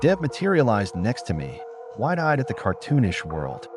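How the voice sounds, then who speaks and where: A man's voice: medium pitch, wildly swooping pitch, moderate pace. A man narrating a scripted first-person story as an audiobook. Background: music.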